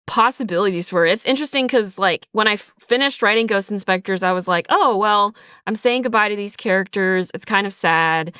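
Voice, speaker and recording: A woman's voice: medium pitch, a bit bright, clean tone, no room sound, studio quality. A woman speaking as an interview guest, explaining her creative writing work in a casual, conversational way. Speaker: audio drama writer and creator, interview guest.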